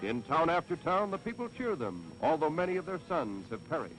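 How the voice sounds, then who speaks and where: An American man delivers vintage 1940s newsreel narration, pitched fairly high. His reading is scripted, declamatory and stirringly patriotic, set against prominent orchestral music on an old film soundtrack. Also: big pitch swings, fairly quick, fairly bright tone, poor audio.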